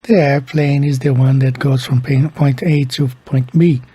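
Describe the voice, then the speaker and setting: Slow, husky-voiced Brazilian-born man. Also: medium pitch, fairly even intonation, dark tone, no room sound. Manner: slow, halting, repeats words. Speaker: video creator. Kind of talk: casual explainer monologue.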